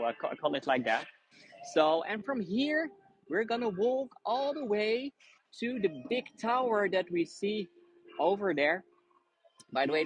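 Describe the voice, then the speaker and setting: A man's voice: fairly high pitch, rough recording. Dutch man giving a chatty walking tour. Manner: chatty, friendly, full of fillers and restarts. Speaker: tour guide. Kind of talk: live walking tour stream. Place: busy city streets outdoors.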